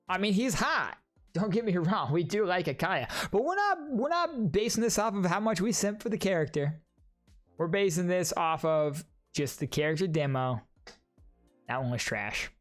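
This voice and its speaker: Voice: medium pitch, fairly bright tone. A streamer giving casual, joking reaction commentary and ranking game trailers while talking to chat. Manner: chatty, joking, addresses chat directly.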